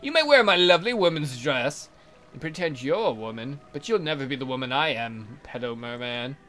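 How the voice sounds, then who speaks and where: A man's voice: medium pitch, expressive, a little fast, sharp tone, faint music behind. A man voicing a ditzy young maiden who drawls and says "like" constantly, in a visual novel playthrough. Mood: defiant. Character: ditzy young maiden with valley-girl speech.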